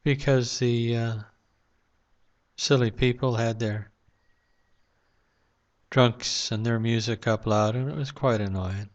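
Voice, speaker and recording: A man speaks straight to his camera at home at night, rambling and reflective, with frequent "uh"s between thoughts. His voice sits at an ordinary middle pitch. The recording is dull and lo-fi. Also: fairly even intonation, takes it slowly, pauses often. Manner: rambling, reflective, with many fillers. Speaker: vlogger. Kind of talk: casual monologue to camera. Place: home room at night, lamp-lit.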